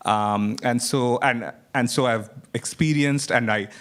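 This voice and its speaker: A man who grew up in India speaks from the audience at a panel discussion, in a halting way with many fillers and restarts. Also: mid-range voice. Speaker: audience member.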